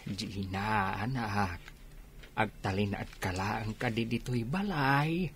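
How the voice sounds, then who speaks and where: A man's voice: fairly low pitch, takes it slowly, a bit bright, slightly rough, heavily acted. An actor playing a weary, worried middle-aged rural father in a radio drama, sighing and plaintive. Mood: gently reproachful.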